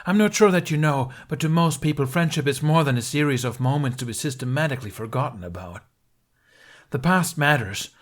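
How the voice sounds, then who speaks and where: A man's voice: fairly high pitch, expressive, brisk, pitched above the performer's own voice, natural voice. A man reading as a furious middle-aged knight. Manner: heated, accusing, raised voice.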